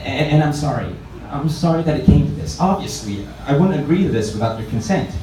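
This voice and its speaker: A man's voice: on the high side, expressive, fairly quick, warm tone, soft background music, pitched above the performer's own voice, close to an everyday voice. A man voicing an earnest, conciliatory young prince in a book reading, pleading and reasoning. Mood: apologetic, pleading. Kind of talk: live dramatic book reading. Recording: echoey room, distant mic, faint noise.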